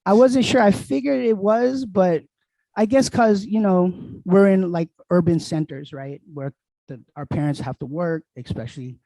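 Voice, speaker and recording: A man's voice: high-pitched, normal speed, creaky, warm tone, dry recording, rough recording. A man, chatting casually as a podcast guest in a laid-back, streetwise way and laughing often. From Queens, New York City. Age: 40s.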